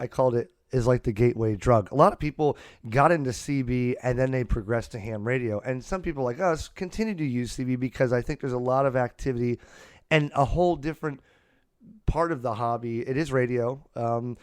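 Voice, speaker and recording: A man's voice: medium pitch, clear, resonant tone, no room sound, studio quality. A man hosting a podcast in a casual, unscripted way, rambling and often restarting his sentences. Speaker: podcast host. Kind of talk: casual unscripted hobby chat. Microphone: close USB podcast microphone.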